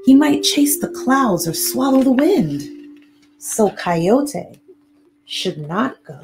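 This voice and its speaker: A woman's voice: a little high, big pitch swings, slow pace, a little mellow, pitched above the performer's own voice, full character voice, poor audio. A woman voicing a chorus of squabbling forest animals in an emphatic refrain, with music underneath. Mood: insistent.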